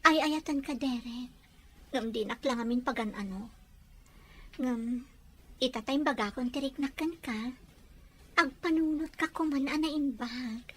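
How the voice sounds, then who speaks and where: A woman's voice: sits high, measured pace, pauses often, fairly bright tone, slightly rough, heavily acted. A woman with an Ilocano accent playing an earnest, insistent young daughter in an audio drama. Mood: pleading, lovestruck. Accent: Ilocano, from the Philippines.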